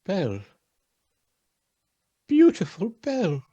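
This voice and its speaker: A woman's voice: mid-range voice, wildly swooping pitch, slow, warm tone, muffled recording. A woman acting a wistful elderly man moved by his memories in a radio play, exclaiming and murmuring sentimentally.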